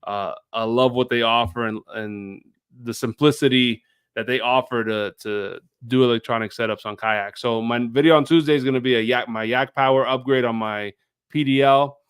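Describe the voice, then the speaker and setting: A man's voice: medium pitch, fairly even intonation, moderate pace, clear, resonant tone, no room sound. Male video creator as show guest, clean resonant mid-range voice, informal conversational delivery. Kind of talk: casual chat.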